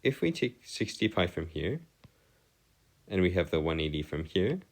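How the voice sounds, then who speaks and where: A man giving an improvised lesson as a tutor, speaking in formal, bookish wording with a halting, hesitant delivery and frequent pauses. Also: low voice, very animated, gravelly. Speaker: math tutor. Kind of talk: improvised lesson explanation on trigonometry.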